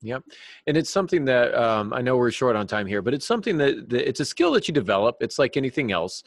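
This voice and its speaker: A man's voice: medium pitch, very animated, fast talker, gravelly, no room sound, clean studio sound. A man on a podcast panel giving reassuring advice in a conversational way.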